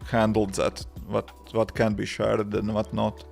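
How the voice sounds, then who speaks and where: A man in his thirties with a Latvian accent, a developer chatting casually on a live stream in simple, halting English with many restarts. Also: lowish voice, monotone, vocal fry, darkish tone, faint music behind. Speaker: livestreaming developer answering chat.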